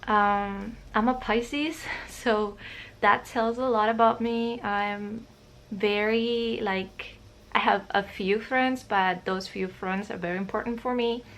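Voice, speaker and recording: Shy Spanish-accented woman, slow and creaky. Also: medium pitch, fairly even intonation, a bit bright, a little hiss or hum. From Spain. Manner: shy, hesitant, many fillers. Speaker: vlogger. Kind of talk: casual chat to camera.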